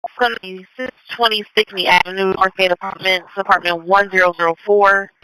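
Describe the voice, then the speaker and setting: A man's voice: sits high, fairly even intonation, a little fast, sharp tone, slight background noise, poor audio. A man working as a dispatcher, calling out units and addresses over two-way radio in a clipped, procedural manner. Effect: two-way radio transmission. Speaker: police and fire dispatcher. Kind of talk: scanner radio dispatch call-outs.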